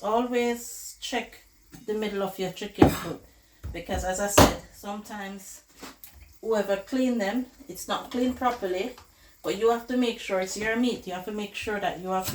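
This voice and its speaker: Hoarse woman cooking at home. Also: medium pitch, unhurried, background noise. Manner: chatty, addresses viewers as guys. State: hoarse from flu. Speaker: cooking vlogger host. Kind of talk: casual cooking tutorial chat. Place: home kitchen. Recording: echoey kitchen with loud clatter. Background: pots, dishes and running water.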